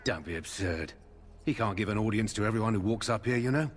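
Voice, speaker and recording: An officious male sentry with a low voice. He is curt and dismissive, guarding a camp in a fantasy game. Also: fast, husky. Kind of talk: fantasy video game voice acting.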